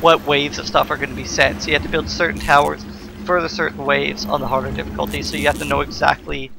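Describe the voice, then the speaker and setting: A man's voice: fairly high pitch, little pitch movement, fast talker, vocal fry, background noise. A man giving casual, explanatory let's play commentary as the host of a gaming video, informal in manner.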